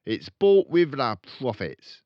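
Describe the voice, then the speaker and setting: A man voicing a crooked, boastful, bullying middle-aged father in a children's storybook, loud and emphatic. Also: medium pitch, sing-song, takes it slowly, no echo, highs cut off, voice pushed up. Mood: angry, bullying. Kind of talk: children's storybook read aloud.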